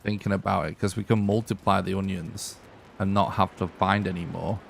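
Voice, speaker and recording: Hoarse young guy streaming a game, clears his throat a lot, faint hiss behind. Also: low-pitched, fairly even intonation, moderate pace. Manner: thinks aloud, clears his throat often. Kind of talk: live gameplay commentary.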